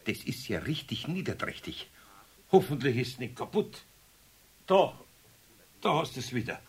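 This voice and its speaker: An actor playing a grumbly but kindly older master craftsman with a Bavarian accent in a children's radio play, colloquial and expressive. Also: medium pitch, unhurried, slightly rough, heavily acted.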